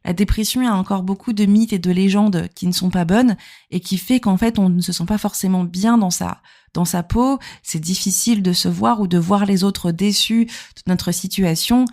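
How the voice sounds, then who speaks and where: Mellow-voiced woman podcasting. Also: mid-range voice. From Normandy, France. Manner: conversational, explanatory, punctuated with rhetorical questions. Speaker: podcast host and therapist. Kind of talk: informal solo podcast talk, addressing the listener directly.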